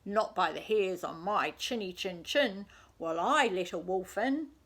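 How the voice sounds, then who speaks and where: A woman voicing a lazy, horrid little male pig from a storybook, rude and defiant, shouting threats. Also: mid-range voice, unhurried. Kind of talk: children's storybook character voice.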